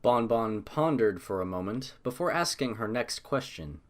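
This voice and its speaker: A man's voice: mid-range voice, lively intonation, normal speed. A man narrating a story as a scripted audiobook.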